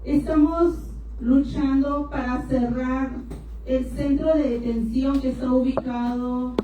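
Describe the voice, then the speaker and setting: A woman speaking at a panel event, talking in short bursts with hesitations and pausing for her interpreter. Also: high-pitched, fairly even intonation, warm tone. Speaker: panel speaker for an immigrant rights group. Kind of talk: public talk in Spanish, paused for interpretation. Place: university hall event. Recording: echoey hall, distant mic, faint noise.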